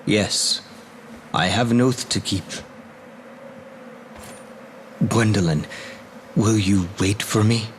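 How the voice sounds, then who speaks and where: An actor playing a gentle, reserved young knight, speaking formally and sincerely in a video game drama. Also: male voice, lowish voice, unhurried, a little hiss or hum, lightly acted. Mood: earnest resolve.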